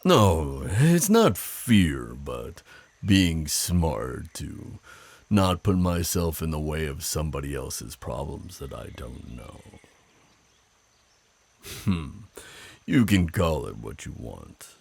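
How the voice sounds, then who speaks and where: A man playing a flirtatious, protective werewolf of the forest in an intimate ASMR roleplay, with a very deep, gravelly, husky voice and a teasing, deliberate delivery. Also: big pitch swings, darkish tone, dry recording, heavily acted. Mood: teasing, seductive. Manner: slow, teasing, chuckling, deliberate pauses.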